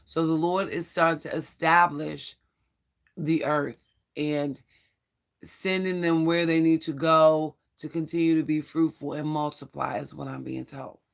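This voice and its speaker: A hoarse Bible study host reading scripture slowly and with care. The voice is gravelly and frayed, and the host pauses and stumbles over the ancient names. Earnest spiritual asides come between the verses. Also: mid-range voice, steady tone. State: hoarse, losing voice.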